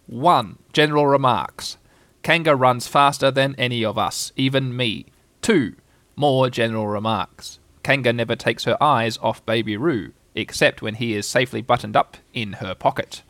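A man voicing a bossy, brisk, self-important scheming rabbit in a children's storybook. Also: medium pitch, a bit bright, no room sound, lightly acted.

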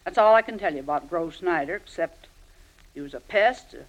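A woman's voice: fairly low pitch, lively intonation, a little fast, lightly acted, poor audio. An actress playing a blunt, feisty landlady with a brusque, colloquial way of talking, in an old radio drama. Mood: chatty, frank. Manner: brusque, colloquial, plain-spoken. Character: blunt, feisty Irish landlady, chatty but kind underneath. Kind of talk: 1940s-50s radio drama acting.

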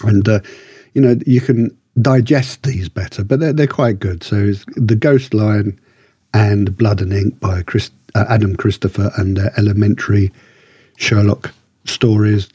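Mellow, slightly husky male podcaster. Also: fairly low pitch, steady tone, a little slow, no echo, clean studio sound. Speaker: podcast co-host reviewing books and radio dramas. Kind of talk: casual review chat.

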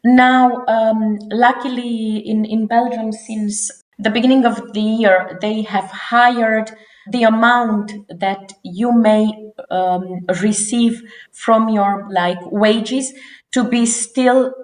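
A woman's voice: a little high, steady tone, slow. A woman in her forties from Romania, giving earnest interview answers with frequent restarts. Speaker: guest. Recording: roomy echo.

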